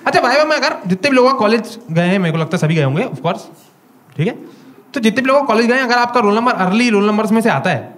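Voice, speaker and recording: Animated young Indian comic on a club stage. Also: male voice, extremely high pitch. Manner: animated storytelling, acting out dialogue. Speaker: stand-up comedian. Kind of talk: stand-up comedy set. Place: small comedy club stage before a live audience. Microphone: handheld stage mic.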